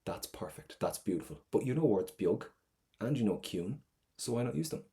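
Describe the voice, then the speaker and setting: A middle-aged man with an Irish accent teaching an exam preparation lesson, energetic and encouraging, often checking in with "right?". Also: lowish voice, a little fast. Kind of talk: exam prep masterclass lesson.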